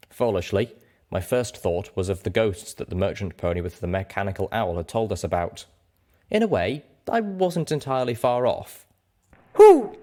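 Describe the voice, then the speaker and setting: Man, deeper voice, animated intonation, scripted story narration, audiobook reader.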